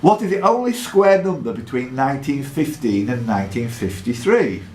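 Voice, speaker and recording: A man hosting a live quiz in a pub, reading questions out deliberately and adding jokey asides. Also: medium pitch, big pitch swings, darkish tone. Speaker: quizmaster. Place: indoor pub. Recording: echoey pub room with background noise.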